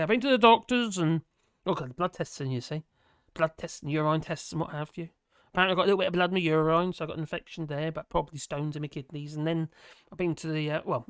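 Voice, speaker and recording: A hoarse live streamer, rambling in casual chat to viewers and making fun of themselves. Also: medium pitch, no room sound, studio quality. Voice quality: rambling, self-deprecating.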